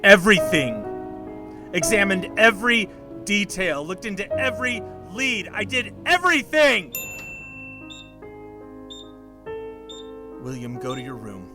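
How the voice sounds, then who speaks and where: A man's voice: sits high, very animated, moderate pace, over music. An actor playing an anguished, paranoid middle-aged father in an audio drama, weary and insistent.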